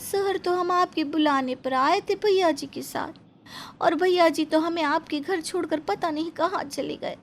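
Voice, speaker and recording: Very high-pitched naive village bride. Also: female voice, unhurried, soft background music. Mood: pleading. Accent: rustic Hindi village dialect. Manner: simple-minded, earnest, exclaiming. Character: naive, cheerful village bride, rustic young sister-in-law. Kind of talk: animated Hindi family story acting.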